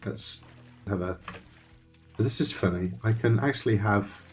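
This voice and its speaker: A man's voice: on the low side, a little slow, faint music behind. A man thinking aloud and chatting with viewers while playing a survival game on a live stream. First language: Dutch. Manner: rambling, thinks aloud, talks to chat.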